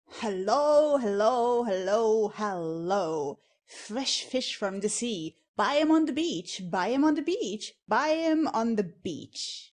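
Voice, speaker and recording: Female actor for tart, slangy young woman of stage satire; measured delivery, mocking edge. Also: fairly high pitch, expressive, a little mellow. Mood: derisive. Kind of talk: acted stage satire in audio.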